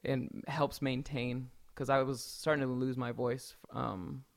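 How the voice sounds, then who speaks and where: A man's voice: medium pitch, little pitch movement, unhurried, creaky. A young man, a singer, answering interview questions casually with many restarts and fillers. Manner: hesitant, many restarts and fillers, laughs easily. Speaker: singer in a band.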